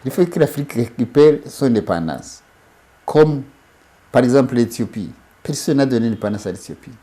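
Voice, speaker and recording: A man's voice: mid-range voice, animated, brisk, dark tone. A man, a studio guest, giving emphatic political commentary, who often repeats and restarts his phrases.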